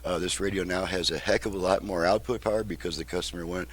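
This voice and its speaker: A man's voice: low-pitched, fairly even intonation, fast, slightly rough. A middle-aged or older man giving a casual, methodical step-by-step demonstration as a repair technician. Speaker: car radio repair technician and presenter. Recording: noisy home recording with hum.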